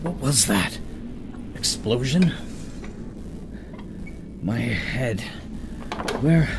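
A man playing a dazed, shaken adventure hero in an audio drama, muttering to himself in halting fragments. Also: mid-range voice, heavily acted. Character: dazed male space-adventure hero, shaken after a fall. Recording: music behind the voice.

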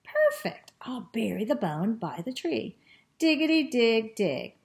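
An excitable male dog acted out by a woman tells his own storybook adventure, greedy for food. The voice sits fairly high and stretches words out slowly, breaking into short exclamations. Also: creaky, heavily acted. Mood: excited, busy. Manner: exclamatory, drawn-out words and short repeated sniffing phrases. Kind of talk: expressive children's storybook reading.

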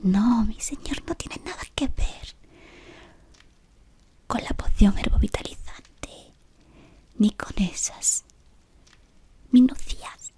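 A young woman voicing a devoted female servant of a dark wizard, whispering close to the mic in a fawning, deferential way. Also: fairly high pitch, slow, pauses often, slightly rough, heavily acted. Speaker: ASMR roleplay creator. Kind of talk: whispered ASMR roleplay. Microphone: close sensitive mic at face level.

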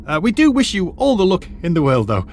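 A man's voice: high voice, wildly swooping pitch, brisk, a little mellow, a little hiss or hum, heavily acted. A man playing a middle-aged retired archaeologist turned teacher who lays things out in a precise, lecturing way. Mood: tense. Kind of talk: audio drama acting.

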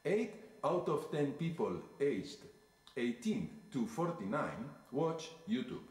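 Slow, lively Spanish-accented man on video. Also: medium pitch. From Spain. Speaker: statistics specialist. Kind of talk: explaining facts on video. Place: indoor room, played into lecture hall. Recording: echoey playback through room speakers.

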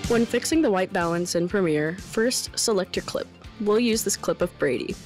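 A woman's voice: mid-range voice, animated, normal speed, darkish tone. A young woman giving an informal, lightly wry tutorial narration. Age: young adult. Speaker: tutorial presenter. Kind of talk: video editing tutorial narration. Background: background music.

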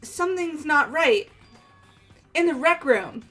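Visual novel schoolgirl played by a woman: high-pitched, very animated, anxious, excitable, light music bed. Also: takes it slowly, full character voice. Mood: frightened. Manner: pleading, flustered. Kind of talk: visual novel dub dialogue. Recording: background game music.

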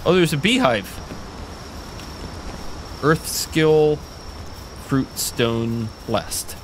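Casting note: man with an animated mid-pitched voice, eager co-op gamer, slight noise in the background. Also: very slow, poor audio. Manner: curious, excitable, asks lots of questions. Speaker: co-player. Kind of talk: casual gameplay chat.

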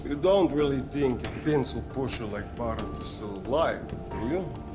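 A man's voice: medium pitch, animated, normal speed, lo-fi sound, over loud music, lightly acted. A man voicing a cold, selfish male mercenary villain who talks in a sneering way. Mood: mocking.

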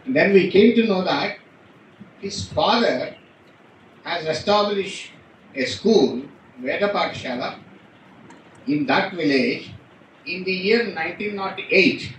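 A middle-aged man with an Indian accent gives a speech from a podium. His voice sits fairly high, and it is heard from a distance in a very echoey hall. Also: lively intonation, a little slow, long pauses, fairly bright tone. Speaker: guest speaker. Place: auditorium, indoors. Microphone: podium microphone, recorded from far camera. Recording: echoey hall from far off, noisy.

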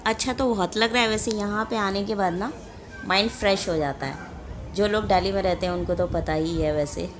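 A young woman walks and talks through a casual travel vlog, filming herself on a phone held at arm's length. She speaks straight to her viewers in a chatty, friendly way. The recording is open-air and noisy, with a steady wash of outdoor sound behind her. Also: mid-range voice, normal speed. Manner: chatty, addresses viewers directly. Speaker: vlogger. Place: outdoors in a large mosque courtyard. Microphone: phone camera held at arm's length.

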